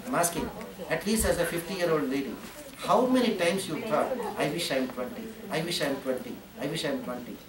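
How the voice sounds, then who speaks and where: A man preaches in a repetitive, animated way and chants verses between his points. His voice sits a little high. He is picked up from across a roomy hall with a crowd present. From India. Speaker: spiritual teacher. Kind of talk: sermon with Sanskrit verses. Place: ashram hall. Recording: roomy hall, distant mic, audience.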